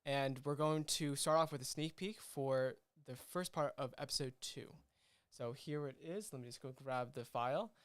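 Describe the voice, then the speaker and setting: A man hosting a casual livestream, chatting informally and a little haltingly. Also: mid-range voice, smooth, no echo. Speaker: livestream host.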